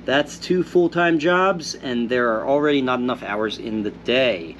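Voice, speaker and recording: Host, male, mid-pitched, a bit phlegmy, relaxed Q&A delivery, faint hum behind. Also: unhurried, lo-fi sound. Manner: reads viewer comments aloud and replies in an easygoing, thoughtful way. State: congested after illness. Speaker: host answering viewer questions. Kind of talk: casual Q&A, reading out comments.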